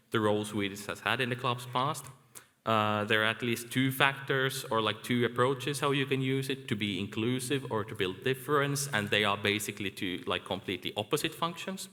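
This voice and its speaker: Bright-voiced man lecturing in a hall. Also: mid-range voice. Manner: measured, lecturing with filler "like". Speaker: academic speaker. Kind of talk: conference presentation. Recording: echoey hall.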